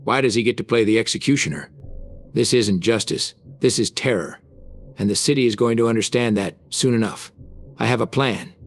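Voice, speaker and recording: An actor playing a resolute, indignant crime fighter in a motion comic dub, speaking in a serious, insistent way. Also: male voice, on the low side, normal speed, gravelly. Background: dramatic music.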